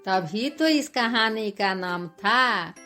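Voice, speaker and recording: Female folk tale narrator for animation: mid-range voice, extremely unhurried, halting. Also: mid-range voice, lively intonation, pushed and bright, faint music behind. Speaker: storyteller.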